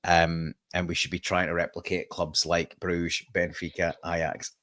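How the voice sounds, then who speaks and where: A man's voice: low voice, level tone, moderate pace, sharp tone, no room sound, clean studio sound. A man from Australia, a guest on a football podcast, giving blunt opinions with frequent hesitations. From Perth, Australia. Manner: opinionated, hesitant with many ums. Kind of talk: casual football podcast chat.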